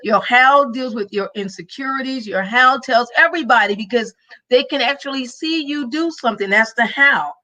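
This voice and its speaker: A woman's voice: fairly high pitch, moderate pace, bright, forceful tone, poor audio. A woman podcast host and minister giving a live-streamed motivational talk, emphatic and preachy, with a faith-filled rhythm.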